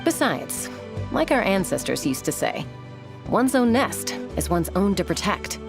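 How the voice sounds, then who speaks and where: A woman's voice: mid-range voice, sing-song, unhurried, vocal fry. A woman voicing a capable, self-assured young female warrior in a video game, cool and wry. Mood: cool, confident. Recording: music behind the voice.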